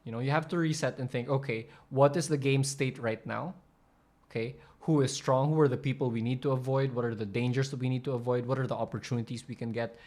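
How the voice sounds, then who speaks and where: A man's voice: medium pitch, fairly even intonation, fast, a little mellow. A man hosting, talking casually over a video clip, rambling with frequent fillers and restarts as he explains. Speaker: channel host explaining coaching.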